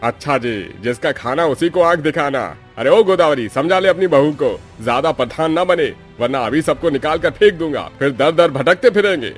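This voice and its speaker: A bullying, grumpy landlord, played by a man, scolds and blusters in a fairly high male voice. The delivery is cartoon drama, with light music behind. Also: lively intonation, normal speed, hardly any pauses, close to an everyday voice. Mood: scornful, threatening. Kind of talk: Hindi cartoon story acting.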